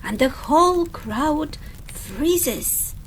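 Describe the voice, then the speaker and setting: A woman reading a picture book aloud to children with dramatic, deliberate phrasing. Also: sits high, animated, a little hiss or hum. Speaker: storytime reader.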